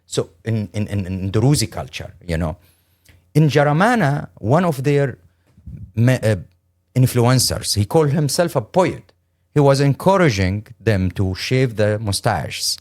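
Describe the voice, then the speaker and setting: A middle-aged man with a Syrian Arabic accent gives an earnest first-hand account as an interview guest. His medium-pitched voice moves up and down a lot, and his delivery is halting but emphatic, with words repeated for weight. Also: animated, a little mellow. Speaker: interview guest, lawyer and humanitarian.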